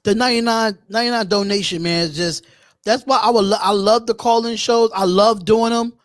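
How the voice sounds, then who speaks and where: Man, very high voice, dry close sound, casual call-in show host, slangy, repeats himself. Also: fairly even intonation, brisk, fairly bright tone, dry recording. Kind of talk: casual live stream chat.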